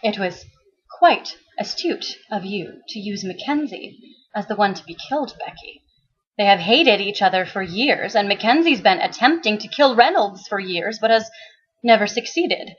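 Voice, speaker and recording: A woman reading a dramatic story excerpt in a theatrical, expressive way, with a husky voice. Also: medium pitch, normal speed, highs cut off. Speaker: author and actress reading a book excerpt. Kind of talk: dramatic audiobook narration.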